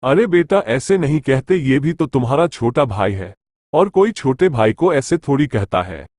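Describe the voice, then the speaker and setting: A man's voice: mid-range voice, animated, moderate pace, a little mellow, no room sound, natural voice. A man voicing a mild, caring father in a Hindi moral story cartoon. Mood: mild, welcoming.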